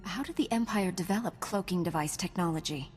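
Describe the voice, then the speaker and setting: A woman's voice: fairly low pitch, fairly quick, soft background music, close to an everyday voice. An actress voicing a resolute, self-assured female Jedi Knight in a video game, cool in manner. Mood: businesslike.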